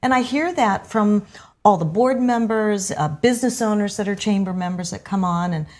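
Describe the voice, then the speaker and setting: A woman's voice: medium pitch, normal speed, darkish tone. A middle-aged woman hosting a local television talk show, chatting with guests in a studio and gushing with praise. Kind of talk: casual studio interview chat.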